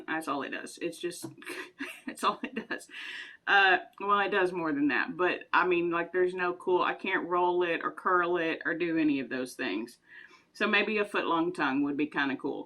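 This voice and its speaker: Bright-voiced chatty woman. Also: sits low. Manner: chatty, rambling, giggly. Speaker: vlogger. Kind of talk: casual chat answering would-you-rather questions.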